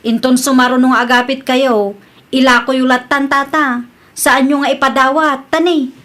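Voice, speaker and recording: High-voiced, reproachful village woman played by an actress. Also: level tone, moderate pace, a bit bright. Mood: indignant, reporting. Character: Juliet, upright neighbor woman scolding a cheat. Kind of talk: Ilocano comedy radio drama acting.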